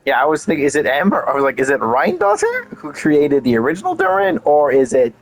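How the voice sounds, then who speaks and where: A man's voice: a little high, huge pitch swings, brisk, creaky. A man giving casual playthrough commentary, rambling and thinking aloud as he goes. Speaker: gaming video host.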